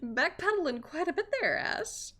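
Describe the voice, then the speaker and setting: A woman livestreaming a visual novel, chatting and reacting aloud to the characters in an informal, expressive way. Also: high voice, normal speed. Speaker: streamer playing a visual novel.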